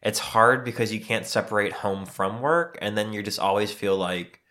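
A man co-hosting a podcast in casual chat, congested and sniffly from allergies, talking in a relaxed, conversational way. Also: lowish voice, moderate pace. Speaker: podcast co-host.

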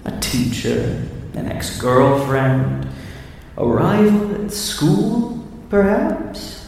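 A man's voice: mid-range voice, very animated, extremely slow, creaky, darkish tone, full character voice. A man playing a sly, devilish monster in a radio drama, taunting and coaxing with theatrical menace as it offers a deal. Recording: echoing hall-like reverb, distant, faint noise.

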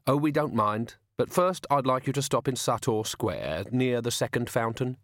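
A man voicing a cheerful, courteous villain in an audiobook, falsely friendly and unhurried in his menace. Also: medium pitch, animated, dry recording, clean studio sound, close to an everyday voice. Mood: politely menacing. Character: genteel, softly menacing villain, cheerful and polite. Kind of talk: audiobook character voice.

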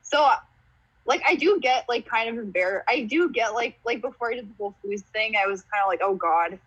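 A woman's voice: a little high, expressive, brisk, fairly bright tone, husky, muffled recording. A young woman comedian, born in Romania and raised in Canada and the US, chatting casually as a podcast guest, giggly and rambling with lots of "like".

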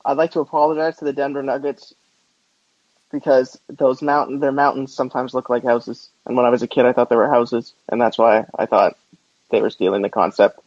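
A man's voice: mid-range voice, fairly even intonation, fairly quick, darkish tone, no echo, highs cut off. A man co-hosting a sports podcast over a remote call, giving casual, opinionated takes. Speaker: podcast co-host.

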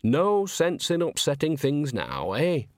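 A man's voice: fairly high pitch, huge pitch swings, slow, dry recording, clean studio sound, pitched above the performer's own voice, heavily acted. A man voicing a chatty, homely, cheeky old woman witch in an audiobook, folksy and rambling. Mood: musing. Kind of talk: audiobook character acting.

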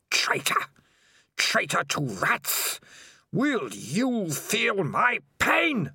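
A ruthless rat spirit of the dark, male and menacing, as a man puts him on for an audiobook. The voice is shrill and hissing and swings wildly in pitch, dragging its words out slowly. It sounds dry and close to the mic. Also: gravelly, slightly rough, no echo, heavily acted. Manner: shrill, hissing, raging then whispering. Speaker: audiobook reader. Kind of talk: audiobook character voice.

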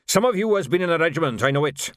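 An ageing sergeant, acted out by a man, folksy and ungrammatical, who swings widely in pitch. He is deferential to officers and cheerful about soldiering, in a close, dry storybook recording. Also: expressive, fast, no room sound, close to an everyday voice. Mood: loud, drilling. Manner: folksy, ungrammatical, barks at recruits. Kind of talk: audiobook character voice.

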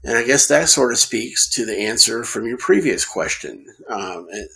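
A man hosting a conversational interview, speaking in a measured, reflective way with halting phrasing. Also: medium pitch, lively intonation, vocal fry, bright, forceful tone, low-quality recording. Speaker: podcast host interviewing a guest.

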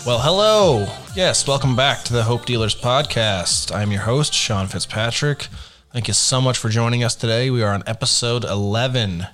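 A man's voice: medium pitch, normal speed, fairly bright tone, faint music behind. A man hosting a studio podcast interview, friendly and affirming, laughing easily as he asks about his guest's story. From born in Dallas. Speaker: podcast host. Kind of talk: casual interview.

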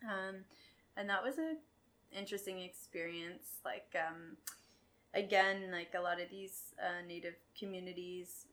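A woman's voice: fairly low pitch, steady tone, unhurried, a bit bright. A young adult woman from Quebec, a podcast guest, talking candidly and casually about her past, laughing often and swearing now and then. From Quebec, Canada. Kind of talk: casual conversational storytelling.

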